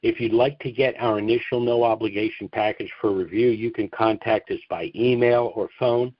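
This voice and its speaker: A man hosting a business conference call and question session, explaining things in a halting way with stammered restarts. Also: fairly low pitch, steady tone, no echo. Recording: dull lo-fi call audio.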